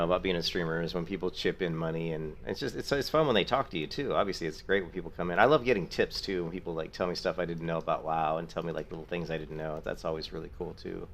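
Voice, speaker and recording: A middle-aged man with a sore throat chats casually with viewers as he streams a game. His voice sits low and deep. He rambles in a friendly way and keeps thanking people. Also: fairly bright tone. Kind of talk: casual chat while gaming.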